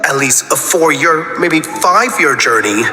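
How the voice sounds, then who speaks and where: A man's voice: fairly high pitch, animated, a little slow, pushed and bright, heavily acted. A man voicing a folksy, evasive sea pony worker in a cartoon comic dub, deferential but unhelpful. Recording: roomy reverb, music behind. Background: music.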